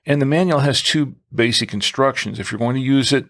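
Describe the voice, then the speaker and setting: Man with a creaky, gravelly voice. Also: medium pitch, a little fast, fairly bright tone. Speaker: podcast co-host. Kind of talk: conversational product discussion.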